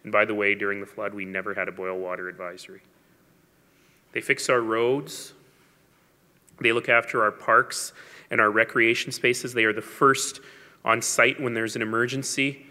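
A man's voice: fairly low pitch, steady tone, normal speed, sharp tone. A man giving a public speech as a mayor, telling stories with wry asides and emphasis. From Canada. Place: large hall. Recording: long hall reverb.

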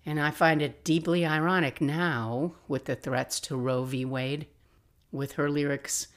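Low-voiced older woman telling stories. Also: steady tone, unhurried. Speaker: podcast host. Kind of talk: personal storytelling monologue, casual and reflective.

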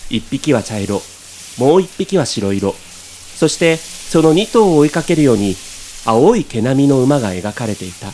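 A man's voice: medium pitch, very animated, moderate pace, a little mellow, slight background noise. A man reading scripted narration for a comic radio drama.

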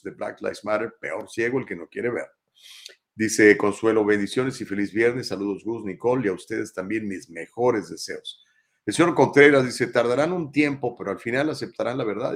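A man's voice: on the low side, fairly bright tone. A middle-aged Mexican man hosting a live talk show, casually reading viewer comments aloud and answering them. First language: Spanish.

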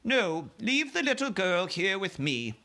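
A man's voice: high voice, big pitch swings, measured pace, pushed and bright, voice pushed up, exaggerated voice. A man voicing a dignified, gracious Queen from a children's storybook, speaking in a polite, formal, composed manner. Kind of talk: children's storybook read aloud.

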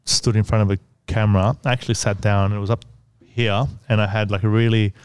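A man with a low, smooth voice talks as a podcast guest. He has a stutter and fills his speech with likes and ums. The sound is dry and close. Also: level tone, fast talker, no room sound. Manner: hesitant, filler-heavy, stuttering. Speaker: guest, business owner. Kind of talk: casual podcast conversation.

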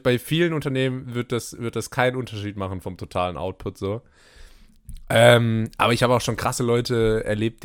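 A man's voice: fairly low pitch, no echo. Dry-voiced young man trading ironic asides. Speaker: podcast co-host. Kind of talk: casual podcast chat.

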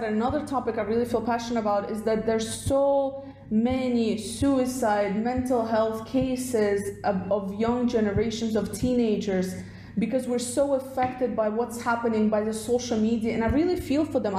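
A young woman from Russia, a podcast guest talking in quick, animated bursts with plenty of fillers. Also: fairly high pitch, roomy sound, a little hiss or hum, poor audio. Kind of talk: casual interview chat.

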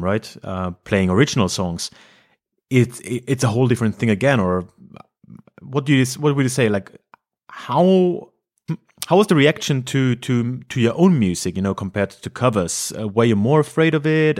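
A man's voice: medium pitch, expressive, moderate pace, darkish tone. A man hosting a casual music interview, asking rambling questions with many restarts. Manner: hesitant, stacking questions with restarts. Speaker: podcast host.

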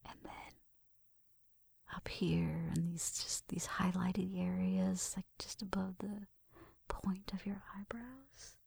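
A woman acting a breathy, whispering, flirtatious roommate. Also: on the low side, little pitch movement, darkish tone, dry recording, clean studio sound, heavily acted. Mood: softly focused. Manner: hesitant, halting, tender. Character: shy, flirtatious female roommate giving an affectionate Halloween makeover. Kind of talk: intimate ASMR roleplay addressed to the listener.

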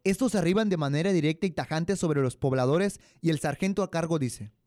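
A man's voice: a little high, a little fast, a little mellow, no echo, clean studio sound. A young man with a Mexican accent narrating a scripted radio drama. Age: young adult.

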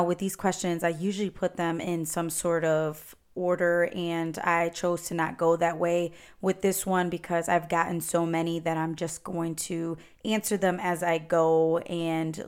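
A woman, hosting a solo podcast and chatting warmly as she answers listener questions. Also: on the low side, clear, resonant tone. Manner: chatty, encouraging, advice-giving. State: slightly raspy voice. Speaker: podcast host.

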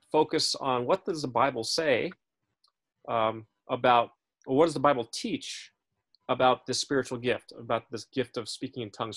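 A man teaching a Bible study in a casual, chatty way, often checking in with his listeners and correcting himself as he goes. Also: mid-range voice. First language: English. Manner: frequent fillers and self-corrections.

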